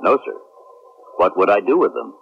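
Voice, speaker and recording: A man playing an emotionless, dutiful clone astronaut in a science fiction radio drama, formal and flat, with a stammer. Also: on the low side, moderate pace, gravelly. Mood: flat, obedient. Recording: muffled, noisy 1970s radio recording.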